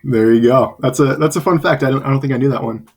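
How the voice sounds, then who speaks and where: A male student runs an interview for a class, and his voice sits at a middle pitch. He is polite and reads his questions with frequent hesitations. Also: fast talker, husky. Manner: polite, with many ums and uhs. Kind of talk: scripted interview questions over a call.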